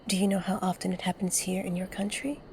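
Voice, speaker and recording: A woman's voice: mid-range voice, little pitch movement, quick pace, darkish tone, slight background noise, lightly acted. A woman playing a blunt, earnest and wounded heroine in an audio drama. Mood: confrontational. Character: blunt, intense, wounded heroine, protective of victims. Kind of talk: fan audio drama acting, dramatic monologue.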